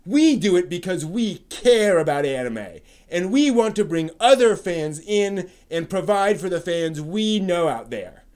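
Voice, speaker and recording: A man doing a dramatic reading as a self-righteous older anime fan, indignant and declamatory, with drawn-out emphasis. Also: sits high, expressive, darkish tone, pitched above the performer's own voice. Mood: indignant, preachy.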